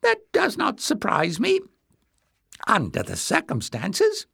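A man's voice: very high-pitched, sing-song, unhurried, creaky, fairly bright tone, dry recording, clean studio sound, voice pushed up, heavily acted. A man voicing a small, malicious former acrobat in a story, sly and jeering with mock courtesy. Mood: mischievous. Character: malicious little man, former palace acrobat, mocking and spiteful.

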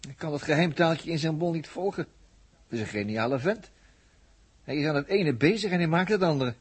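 A man's voice: on the high side, expressive, fairly quick, breathy edge. An actor in a 1960s Dutch radio drama playing a hungry, talkative crewman who rambles and exclaims colloquially. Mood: amused. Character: hungry, amused, talkative male crewman watching others.

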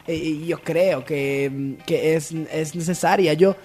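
Male performer as female celebrity guest, high voice, flamboyant, candid, hesitant, theatrical, comedy radio, music underneath. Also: fairly even intonation, takes it slowly, darkish tone. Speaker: radio show guest character. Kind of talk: improvised comedy radio chat.

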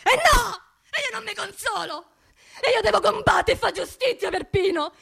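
A woman's voice: very high-pitched, moderate pace, vocal fry, fairly bright tone, heavily acted. An actress playing an anxious, grieving working-class woman in a theatrical radio monologue, with a Roman accent and an emotional, confiding manner. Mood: desperate, outraged. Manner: emotional, confiding, repeats words insistently.

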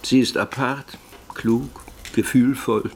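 An actor playing a bookish, melancholy doctor with a brittle voice, given to solemn pronouncements in a radio drama. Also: male voice, medium pitch, steady tone, slow pace. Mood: dry, urging.

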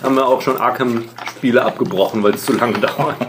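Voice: medium pitch, steady tone, brisk. A tabletop game player chatting casually with friends. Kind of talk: casual chat. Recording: noisy room ambience.

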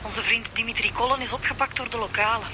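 An actress playing a brisk, sardonic female detective with a Flemish accent, phoning in a report in a radio drama. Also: fairly high pitch, steady tone, background noise, lightly acted. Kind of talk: radio police drama acting.

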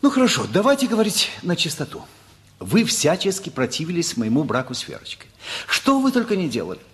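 A man's voice: fairly high pitch, huge pitch swings, fairly quick. An actor playing a principled, blunt family man who reasons insistently in a stage-style radio play.

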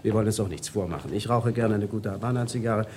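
A man's voice: fairly low pitch, fast, a little hiss or hum, lightly acted. Actor as sardonic, worldly bourgeois ex-revolutionary man. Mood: amused, sardonic. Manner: sardonic, animated, expansive storyteller. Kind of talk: 1970s German radio drama acting.

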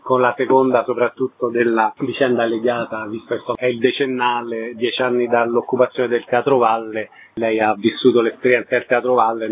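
A man's voice: medium pitch, slight background noise. A man hosting a radio interview. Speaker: radio host interviewing guests. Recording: phone-like narrow-band radio sound.

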